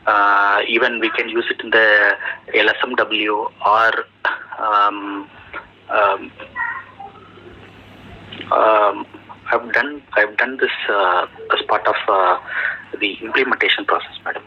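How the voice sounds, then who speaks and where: A man with an Indian accent answering technical questions as a job candidate over the telephone, halting often and searching for words. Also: lowish voice, fairly even intonation, slight background noise. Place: telephone call. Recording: muffled, dull telephone interview recording.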